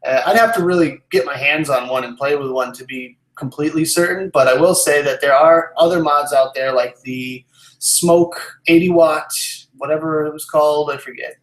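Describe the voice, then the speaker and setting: A man's voice: on the high side, normal speed, reverberant, low-quality recording. A man in his twenties hosting a live stream, chatting casually and answering viewers as he rambles and thinks aloud. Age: 20s. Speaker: live stream host, vape product reviewer.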